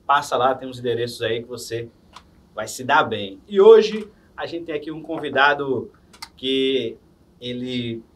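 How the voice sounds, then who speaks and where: A man's voice: medium pitch, a little slow. A man, hosting a casual podcast interview and reading sponsor plugs. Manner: relaxed, chatty, reads sponsor plugs. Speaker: podcast host. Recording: distant mic with faint room noise.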